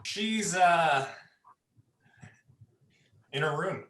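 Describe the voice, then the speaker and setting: A man acts out a menacing young sadist in a horror play. He draws out his threats slowly with mock politeness, his fairly high voice swinging widely in pitch. The sound is roomy. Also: lively intonation, a bit bright, full character voice. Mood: predatory, creepy. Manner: taunting, falsely polite, drawn-out threats. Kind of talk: dramatized horror play script reading.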